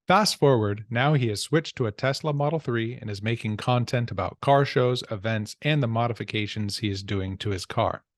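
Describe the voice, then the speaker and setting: A man's voice: mid-range voice, normal speed, hardly any pauses, no room sound. Podcast host guy, friendly and upbeat, mid voice, dry close sound. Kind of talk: podcast interview hosting.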